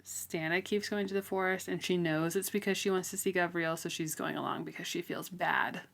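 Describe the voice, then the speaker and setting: A woman with a slightly low voice talks in a loose, conversational way as a podcast co-host. She discusses a book informally, dropping "like" often as she goes. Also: fairly even intonation, fairly quick, creaky, a bit bright. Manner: informal, frequent "like" as filler. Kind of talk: casual book discussion chat.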